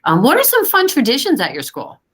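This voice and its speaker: A woman's voice: sits high, wildly swooping pitch, a little fast, fairly bright tone, slight background noise. A woman interviewing a guest, encouraging him with frequent short reactions. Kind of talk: interview questions.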